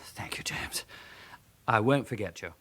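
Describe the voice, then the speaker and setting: A man's voice: lowish voice, unhurried, whispered, natural voice. An English actor playing a nervy, well-spoken con man on the run in a British radio drama. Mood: pleading.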